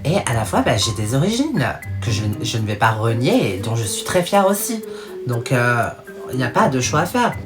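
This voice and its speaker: A woman with a strikingly low voice talks over clips as host of a reaction video. She is outspoken and mocking, often exasperated. Music plays clearly underneath her. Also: sing-song, normal speed. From France. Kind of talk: casual commentary over clips.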